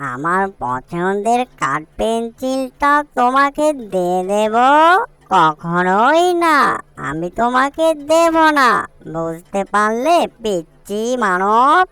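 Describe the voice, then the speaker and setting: A teasing little ghost from a cartoon, voiced by a woman, mischievous and greedy. The high voice swoops up and down at an unhurried pace, every phrase emphatic. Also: sing-song, fairly bright tone, clean tone, dry recording, exaggerated voice. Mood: defiant. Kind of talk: cartoon character acting.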